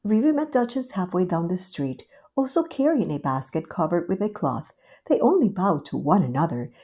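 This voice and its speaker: A woman reading a children's storybook aloud in a gentle, expressive way. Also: fairly low pitch, normal speed, breathy edge. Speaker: storybook reader. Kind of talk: scripted narration of a children's story.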